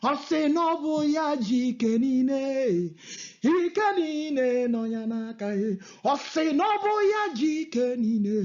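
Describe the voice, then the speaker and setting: A woman's voice: sits high, lively intonation, moderate pace, darkish tone. A woman with a Nigerian Igbo accent preaching and praying in a fervent prophetic style, emphatic and repetitive, switching between Igbo, English and Pidgin. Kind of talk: fervent prophetic sermon and prayer. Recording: dull lo-fi audio.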